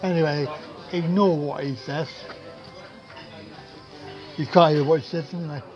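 A middle-aged man with a Southern English accent, talking slowly and wryly with a slightly dull, muffled sound. He films himself in a small dark restaurant, with clear music playing behind him. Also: a little high, steady tone. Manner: chatty, wry, exclaims at prices. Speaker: travel vlogger. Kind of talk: casual vlog chat. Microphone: camera across the table.

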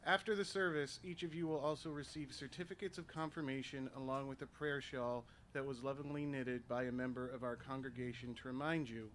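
A man's voice: medium pitch, steady tone, brisk, hardly any pauses, fairly bright tone, a little hiss or hum. Man formally addressing confirmands outdoors.

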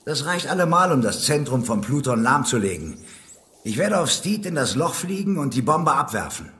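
An actor playing a resolute, fearless squad leader with a commanding manner in a German science fiction radio play. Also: male voice, mid-range voice, big pitch swings, moderate pace, sharp tone. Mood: determined. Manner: decisive, commanding.

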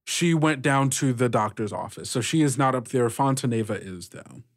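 A man runs a tabletop roleplaying game as its narrator. His voice sits at a medium pitch with a dry, close sound. He builds the story in short phrases with pauses. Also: expressive, dry recording. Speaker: game master.

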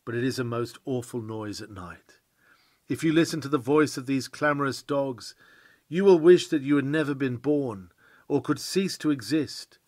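A man's voice: mid-range voice, normal speed, darkish tone, dry recording. Earnest man reading a sermon, dry recording. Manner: earnest, emphatic preaching delivery with old-fashioned phrasing. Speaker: reader of a historical sermon.

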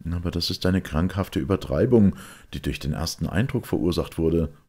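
Guy reading as impatient skeptical secretary man, raised pitch, gravelly, audiobook story. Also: animated, normal speed, dark tone, exaggerated voice. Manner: emphatic questioning and objecting. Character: incredulous, impatient male secretary, indignant and skeptical. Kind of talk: audiobook character acting.

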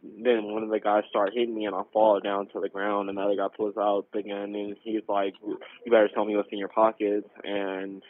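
Low, monotone young man on tinny phone. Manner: halting, informal, rambling account. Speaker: caller reporting a robbery. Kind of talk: emergency phone call. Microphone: telephone. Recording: tinny narrowband emergency phone line.

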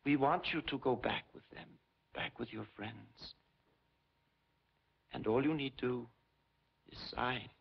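An actor playing a Soviet interrogator officer, cold and insistent, in a 1950s film with a dull old soundtrack. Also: male voice, medium pitch, slow, vocal fry, pauses often, slightly rough, exaggerated voice. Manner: cold and insistent, flaring into shouts. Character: relentless male Soviet interrogator officer, coaxing then bullying.

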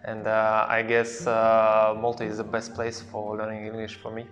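Flat-voiced man, lots of fillers. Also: on the low side, normal speed, soft background music. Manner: filler-heavy, flat delivery. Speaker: interviewee, language student. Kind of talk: casual interview answers.

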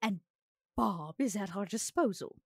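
A woman's voice: medium pitch, animated, measured pace, breathy edge, dry recording, studio quality. A woman playing a poised, courteous vampire in a roleplay game, choosing her words formally and carefully. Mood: polite, composed. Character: poised, courteous vampire, a weary retired enforcer. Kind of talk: tabletop roleplay acting.

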